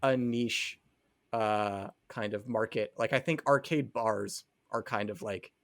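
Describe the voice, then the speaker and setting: A man's voice: fairly low pitch, takes it slowly, a bit bright, no echo, clean studio sound. A man co-hosting a podcast, chatting casually and thinking aloud a little hesitantly. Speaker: podcast co-host. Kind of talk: casual chat.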